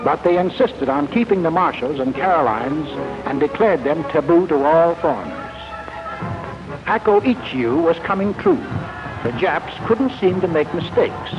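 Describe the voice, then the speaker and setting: A man narrating a 1940s propaganda film in an emphatic, sardonic manner. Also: on the high side. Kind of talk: 1940s scripted documentary narration. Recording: dull, band-limited 1940s film soundtrack. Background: loud music score.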